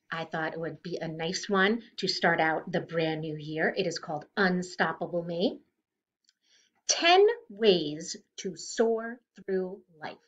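Middle-aged woman reading aloud, dull lo-fi sound. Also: fairly low pitch. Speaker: teacher reading a picture book aloud. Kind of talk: read-aloud storytelling of rhyming children's book. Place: living room at home, indoors.

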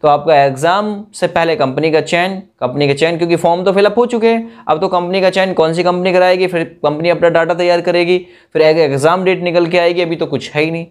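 A man's voice: a little high, steady tone, fairly quick, hardly any pauses, clear, resonant tone. A young man giving an informal exam news update to camera in an emphatic, colloquial way full of rhetorical questions. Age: young adult. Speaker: exam coaching YouTuber.

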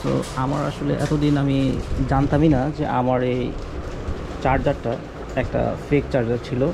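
A Bangladeshi-accented man speaks in a roomy, echoing space with clear noise behind him. His voice is mid-pitched, and he explains things casually and a little hesitantly, in the manner of a vlogger addressing viewers. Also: level tone, normal speed. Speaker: motorbike vlogger. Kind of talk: casual vlog talk to viewers. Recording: roomy echo, clearly noisy background.

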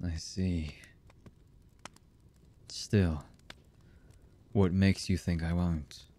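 A voice actor playing a cold, embittered villain man in an ASMR roleplay, husky and menacing, with halting delivery that often drops to a murmur. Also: fairly even intonation, dark tone, a little hiss or hum. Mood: threatening, probing. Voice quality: husky, low.

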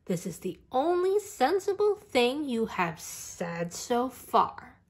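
A woman's voice: high-pitched, big pitch swings, slow pace, pitched above the performer's own voice, full character voice. A woman voicing a sensible, doubting young boy, an older brother in a picture book, reasoning with emphasis. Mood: skeptical.

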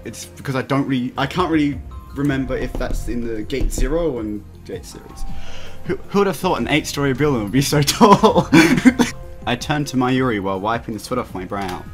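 A man's voice: medium pitch, lively intonation, brisk. A man hosting a let's play, reading a visual novel aloud with casual asides and stumbling over the text. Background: game music.

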